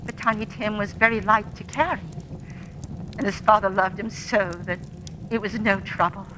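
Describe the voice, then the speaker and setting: A woman playing a gentle, stoic, grieving mother in a radio play, soft and subdued. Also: medium pitch, fairly even intonation, moderate pace, noisy background, lightly acted. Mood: quiet grief. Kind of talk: theatrical radio play acting.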